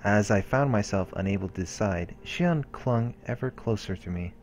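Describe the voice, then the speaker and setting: A man with a fairly low voice reads game narration aloud in a storytelling manner. Faint music plays behind him as he hosts a let's play. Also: moderate pace. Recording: music under the voice. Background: game background music.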